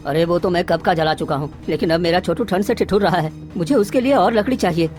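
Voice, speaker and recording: A woman's voice: lowish voice, fast talker, darkish tone, soft background music, voice pushed down, lightly acted. A woman voicing a poor, desperate village father in a cartoon, earnest and pleading. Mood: pleading, defiant. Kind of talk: melodramatic cartoon dialogue acting.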